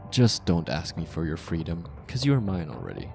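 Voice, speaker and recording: Man acting, mafia boss, very low pitch, possessive, smug, commanding, deliberate, devoted lover, ASMR roleplay, clear background music. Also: huge pitch swings, exaggerated voice. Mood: possessive devotion.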